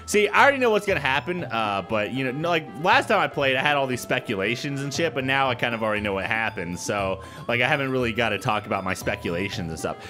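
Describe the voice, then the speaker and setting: A man's voice: mid-range voice, expressive, fast talker, few pauses, sharp tone. A young man hosting a gaming video, rambling informally and reading aloud as he gives casual let's play commentary. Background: game music.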